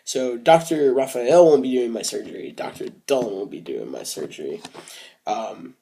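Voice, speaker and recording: A young man recording a casual video diary, speaking hesitantly with many fillers and restarts, soft and reflective. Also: medium pitch, expressive, normal speed, vocal fry, slightly rough. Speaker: vlogger.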